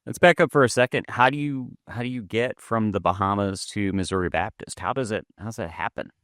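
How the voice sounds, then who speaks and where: A man's voice: lowish voice, fairly quick, a bit bright, dry recording, clean studio sound. A man hosting a podcast, asking long rambling questions full of filler words. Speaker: podcast host. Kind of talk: casual interview chat.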